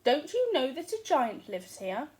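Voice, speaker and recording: A woman's voice: sits high, very animated, a little slow, a little mellow. A woman voicing the giant's wife, a big, brusque but kind woman who scolds and hurries people along. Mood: scolding. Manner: scolding, bossy and urgent.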